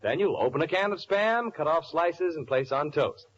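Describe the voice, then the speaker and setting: A man's voice: on the high side, animated, normal speed. A man reading a sponsor advertisement as a 1940s radio announcer. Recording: muffled, slightly hissy old radio broadcast.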